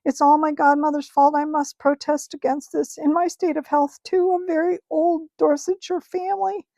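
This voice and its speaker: Quavering old gentleman, storybook reading, very high and strained, loud, gravelly edge, dry close sound. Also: little pitch movement, normal speed, no room sound. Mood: wailing. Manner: wailing, fussy protesting. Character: trembling, cowardly old gentleman magician.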